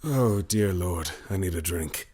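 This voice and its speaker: A man's voice: low-pitched, expressive, measured pace, darkish tone, slight background noise. A man playing a cold, domineering husband and father in a period audio melodrama, imperious, scornful and sardonic. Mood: contemptuous.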